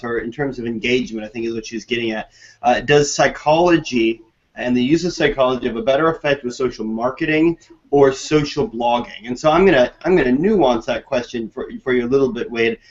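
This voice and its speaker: Man, co-host, medium pitch, relaxed paraphrasing, dull lo-fi call sound. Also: moderate pace. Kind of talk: online show hosting. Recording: dull lo-fi web call audio.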